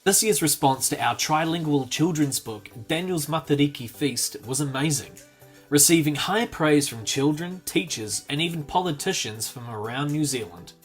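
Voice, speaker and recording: Male narrator for a promo clip, smooth upbeat scripted read over soft background music. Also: fairly high pitch, moderate pace.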